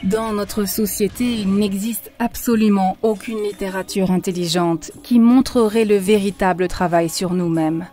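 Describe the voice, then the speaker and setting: A woman voices a wise spiritual teacher in an animated film. She speaks calmly and patiently, like a teacher guiding a student. Her voice sits in a middle range, with faint music underneath. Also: medium pitch, a little slow, few pauses, lightly acted. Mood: instructive. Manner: calm, instructive.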